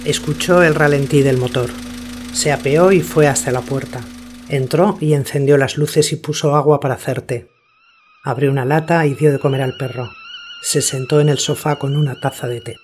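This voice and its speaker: A man's voice: on the high side, wildly swooping pitch, moderate pace, a little mellow, slight background noise. A man reading scripted literary narration for a radio drama.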